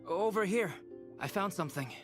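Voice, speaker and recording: A man playing a calm young alchemist in a video game, measured, analytical and reassuring. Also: high-pitched. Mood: focused. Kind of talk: video game drama acting. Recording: loud music behind the voice. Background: music.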